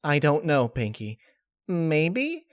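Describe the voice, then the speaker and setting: A man's voice: on the high side, huge pitch swings, slow, no room sound, clean studio sound, voice pushed up, heavily acted. A man voicing a bubbly, carefree young female pony spirit in a story reading, with perky, gushing delivery. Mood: breezy, reassuring. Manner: perky, gushing, childlike phrasing.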